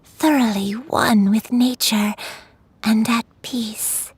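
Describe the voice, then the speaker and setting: A woman voicing a serene, dreamy elderly grandmother in a video game, with a soft, breathy voice. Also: a little high, steady tone, slow, no echo, heavily acted. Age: older.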